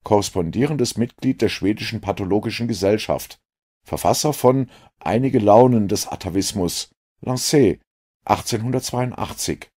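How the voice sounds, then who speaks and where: A man voicing an earnest, sceptical gentleman companion in an audiobook, speaking with emphatic, forceful delivery. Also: fairly low pitch, moderate pace, husky, voice pushed up. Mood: reading aloud. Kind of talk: audiobook character reading.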